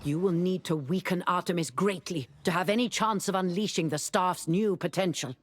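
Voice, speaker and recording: A woman's voice: on the low side, normal speed, slight background noise, lightly acted. A woman playing a wry, commanding sorceress who mentors a young hero in a fantasy video game, speaking formally and with urgency. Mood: grave, resolute. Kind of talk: video game character dialogue.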